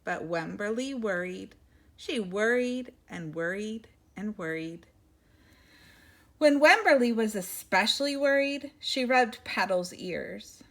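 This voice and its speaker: A woman's voice: medium pitch, expressive, slow pace. A woman reading a picture book aloud expressively, like a teacher talking to young children, with asides to her listeners.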